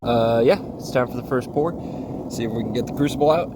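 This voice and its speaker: A man's voice: medium pitch, a little fast. A man with a cold, sniffling as he narrates his outdoor metal casting in an easygoing way, thinking aloud while he works. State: sniffly, getting over a cold. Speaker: hobby metal caster. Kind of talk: casual narration while working. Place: outdoors by a melting furnace. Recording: loud roaring background noise.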